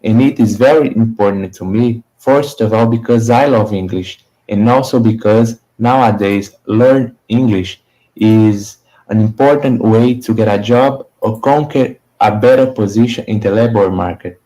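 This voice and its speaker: A man with a Brazilian Portuguese accent giving a steady, read-aloud speech as a language student. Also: lowish voice, a little slow, low-quality recording. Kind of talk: prepared short speech.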